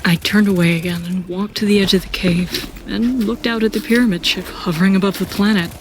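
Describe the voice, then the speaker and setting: A woman acts as a reflective female narrator of a sci-fi audio drama. The storytelling is measured, at a middle pitch, over faint background noise. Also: fairly even intonation, close to an everyday voice, poor audio. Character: thoughtful first-person narrator of a sci-fi tale.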